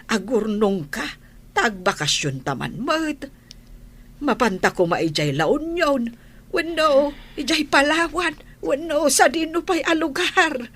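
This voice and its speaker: A woman acting a concerned, pleading middle-aged mother with a husky voice in an Ilocano radio drama. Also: high voice, very animated, takes it slowly, fairly bright tone, exaggerated voice. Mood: urging, consoling.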